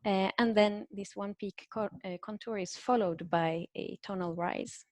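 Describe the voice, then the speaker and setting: A woman's voice: medium pitch, darkish tone, no echo. Woman giving an academic talk. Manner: measured, frequent "uh" fillers, explanatory. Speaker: linguistics researcher presenting a talk.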